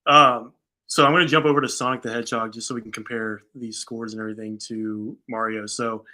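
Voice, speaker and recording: A man's voice: lowish voice, level tone, brisk, pushed and bright. An American man co-hosting a show in casual chat, reading things out and reacting in informal, slangy asides. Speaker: show co-host.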